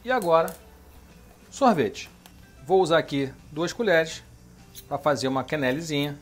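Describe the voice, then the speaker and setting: A man's voice: a little high, lively intonation, a little slow, faint music behind. A middle-aged man hosting a home cooking show, chatting casually as he demonstrates a recipe in his kitchen and checking in with the viewer. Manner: chatty, keeps asking "beleza?" and "tá?".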